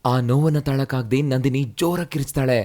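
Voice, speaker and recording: A man narrating a cartoon moral story in a steady, explanatory way. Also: mid-range voice, fairly quick.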